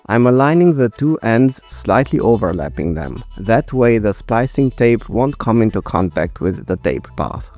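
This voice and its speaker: A man in his thirties or forties presenting a scripted explainer in a measured, precise way. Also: lowish voice, a little mellow. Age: 30s to 40s. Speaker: video presenter. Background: music.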